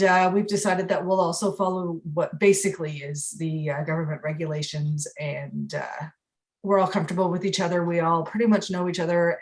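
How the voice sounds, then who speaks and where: A woman, a unit's commanding officer, giving a chatty, self-mocking report on a call. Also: fairly low pitch. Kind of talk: casual online meeting report.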